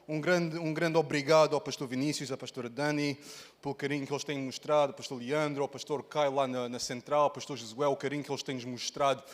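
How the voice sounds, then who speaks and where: A man's voice: medium pitch, steady tone, fast. Portuguese-accented male guest preacher, playful and exclamatory, long church reverb. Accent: European Portuguese. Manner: playful, exclaiming, talks straight to the congregation. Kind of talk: lively sermon opening. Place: large church hall.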